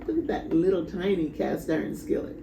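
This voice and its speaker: A woman reading a children's story aloud in a dramatic, deliberate way with pauses. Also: on the low side, very animated. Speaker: storybook reader. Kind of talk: expressive children's read-aloud. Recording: echoey room, clear background noise.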